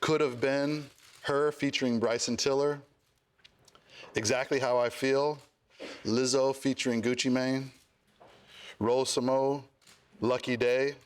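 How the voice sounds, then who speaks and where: Smooth, slow man reading nominees. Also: mid-range voice, little pitch movement. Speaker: nominations announcer.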